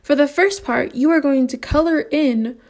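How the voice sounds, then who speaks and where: A woman's voice: sits high, moderate pace, darkish tone. A woman presenting an instructional lesson for young children as their teacher.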